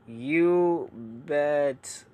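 Young male creator, casual comment-reading vlog, hushed delivery, chatty and halting. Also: a little high, lo-fi sound. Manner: chatty, hesitant, many fillers and restarts; kept quiet so family can sleep. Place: home laundry room.

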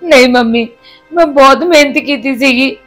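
A woman's voice: sits high, moderate pace, a bit bright, soft background music. A woman playing a young daughter-in-law who pleads and defends herself while crying. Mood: tearful. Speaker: actor in a family skit. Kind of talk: domestic drama skit.

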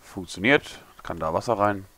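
A young man, a little hungover and hoarse, mumbling and thinking aloud as he builds in a game tutorial. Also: low-pitched, lively intonation, moderate pace, noisy background. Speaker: gaming tutorial creator. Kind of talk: casual commentary while building.

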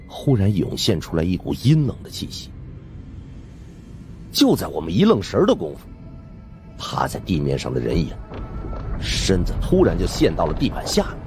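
A man narrates a suspense audiobook in an extremely low voice. His delivery is dramatic, with wide swings of intonation. Soft music runs behind him.

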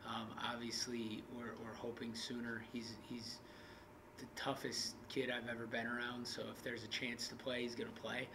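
A man in his thirties, a basketball coach, talking candidly in an interview with halting restarts. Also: mid-range voice, monotone, noisy background. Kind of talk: post-game interview. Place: indoor media room in front of a backdrop.

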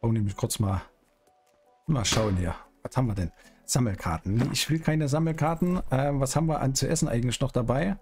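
A man's voice: mid-range voice, animated, fairly quick, over music. A middle-aged man giving casual, playful gameplay commentary and chatting in character. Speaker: streamer hosting a GTA roleplay series. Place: small indoor streaming room.

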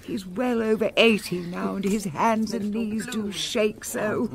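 An actress playing a kind, gracious older hostess with a gentle, refined way of speaking. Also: female voice, medium pitch, a little slow, crowd noise, lightly acted. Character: kindly older lady of the house, gracious.

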